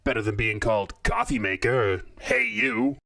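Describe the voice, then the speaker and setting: A man playing a blunt, bulky robot coffee maker in an audio drama, grumbling with deadpan sarcasm. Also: medium pitch, normal speed, creaky, full character voice. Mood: gruff, casual.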